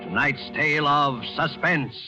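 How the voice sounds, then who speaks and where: Muffled vintage broadcast with music behind it. A male announcer speaks in a formal 1940s style, his voice pitched on the high side. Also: a little slow.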